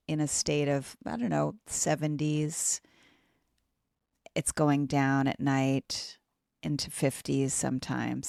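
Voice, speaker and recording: A woman from the Northeast US co-hosting a podcast, speaking slowly and descriptively with a frog in her throat. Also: low voice, gravelly, no room sound, studio quality. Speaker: podcast co-host. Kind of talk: casual chat.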